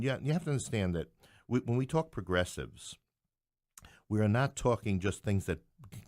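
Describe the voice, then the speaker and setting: Middle-aged male podcast guest, lower register, dry recording, explains things in stop-start, rephrased phrases. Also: a little mellow, no echo. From New York City. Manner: halting, explanatory, repeats and rephrases himself. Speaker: podcast guest, playwright and English professor. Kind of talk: conversational political discussion.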